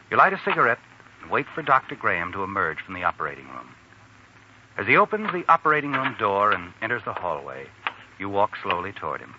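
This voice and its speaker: An actor playing an ominous, all-knowing mystery narrator, taunting and suspenseful in 1940s radio style. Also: male voice, lowish voice, noisy background. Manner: dramatic, suspenseful second-person storytelling. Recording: dull old radio recording.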